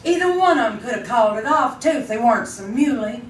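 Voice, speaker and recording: An actress plays a dour, weary old frontier woman in an old-time radio Western. She is blunt and folksy, with a rural Southern hill accent and a fairly high voice. The sound is roomy and somewhat distant. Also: expressive, fast talker, slight background noise, lightly acted. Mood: grim, worried. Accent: American rural Southern hill country. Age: older. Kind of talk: 1950s Western radio drama acting.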